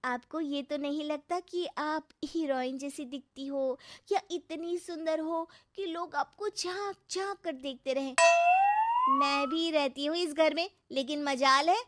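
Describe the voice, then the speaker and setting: A young cartoon sister-in-law , acted by a woman, snarky and always meddling. She taunts in a whiny, very high voice and draws her words out slowly. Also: fairly bright tone. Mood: mocking. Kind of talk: Hindi cartoon story acting.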